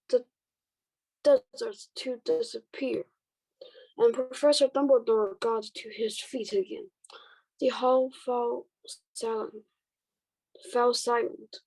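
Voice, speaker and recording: Female student, slow reading, gravelly edge, stumbling, storybook read-aloud. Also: fairly high pitch, a little mellow, poor audio. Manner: stumbling, repeats and corrects words. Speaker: student volunteer reader.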